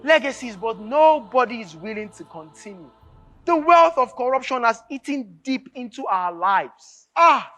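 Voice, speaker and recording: An impassioned Nigerian revolutionary idealist, preachy and impatient. The delivery is declamatory and emphatic, with pitch that swings widely and key phrases hammered home. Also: expressive, a little slow, fairly bright tone, faint music behind. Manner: emphatic, oratorical, repeats phrases for force. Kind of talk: live stage drama acting, declamatory dialogue.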